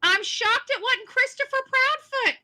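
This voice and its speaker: A woman's voice: very high-pitched, a little slow, sharp tone. A woman streamer chatting casually to her viewers in a theatrical, exclamatory way. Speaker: online livestreamer. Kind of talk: casual livestream chat.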